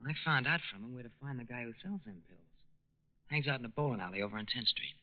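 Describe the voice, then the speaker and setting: Guy playing a discontented slangy teen plant worker, talks fast, old muffled 40s radio show. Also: medium pitch, expressive, close to an everyday voice. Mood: sly, persuasive. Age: young adult. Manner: slangy, grumbling. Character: discontented scheming teenage war plant worker. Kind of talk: 1940s radio acting. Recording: muffled 1940s radio recording.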